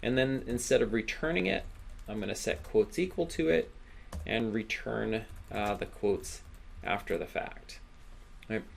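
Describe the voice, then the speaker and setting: A man giving an informal coding tutorial as an instructor, speaking haltingly with repeated words and frequent check-in questions. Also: fairly low pitch, expressive, vocal fry. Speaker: programming instructor.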